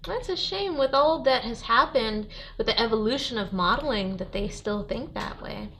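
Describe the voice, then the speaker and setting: A woman hosts a sit-down interview, asking cheerful, encouraging questions. Her voice is bright and sits a little high. Faint noise runs underneath. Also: expressive, normal speed. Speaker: interview host.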